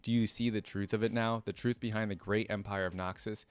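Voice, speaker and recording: A man voicing a cunning, manipulative female sorceress in a calm, measured reading. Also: fairly low pitch, clear, resonant tone, dry recording, close to an everyday voice. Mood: scheming.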